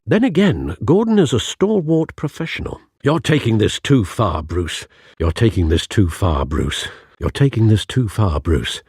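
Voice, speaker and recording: Aging butler, fatherly, husky, expressive intonation, formal, gently scolding, dry game recording. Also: lowish voice, no room sound, full character voice. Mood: concerned. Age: older. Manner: formal, measured, earnest. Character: fatherly older male butler, loyal, worried, gently reproving. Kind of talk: video game voice acting.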